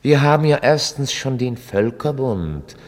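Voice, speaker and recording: A man's voice: mid-range voice, animated, natural voice. Male actor as chronicler for radio play: mid-range voice, formal, articulate storytelling of history. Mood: measured, dry. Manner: measured, documentary, formal. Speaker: narrator. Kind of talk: scripted radio drama narration.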